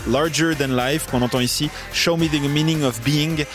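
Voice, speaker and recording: A man from Switzerland hosting a radio music segment, telling a band's story in a lively, jokey way with frequent fillers and restarts. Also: a little high, normal speed. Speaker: radio host. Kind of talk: radio music chronicle with banter.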